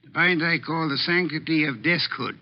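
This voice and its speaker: A male actor plays an imperious, pedantic genius detective in a classic radio drama. His voice sits a little high for a man, and he speaks in formal, exact phrases with pompous authority. The vintage recording is muffled. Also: moderate pace, lightly acted. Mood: measured, authoritative. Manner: pompous, formal, precise diction. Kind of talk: 1940s-50s radio mystery acting.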